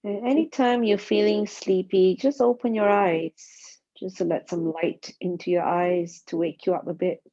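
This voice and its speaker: Woman, mindfulness teacher, unhurried pace, mellow dark tone, calm guided meditation, dull muffled recording. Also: medium pitch. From Singapore. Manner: calm, gentle instruction with spaced short phrases. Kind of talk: guided body scan meditation.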